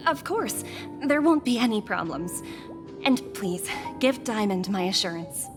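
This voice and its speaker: A woman's voice: mid-range voice, big pitch swings, unhurried. A woman voicing a polite, businesslike female corporate colleague in a video game, formal and composed. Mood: polite, inquiring. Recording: background music under the voice.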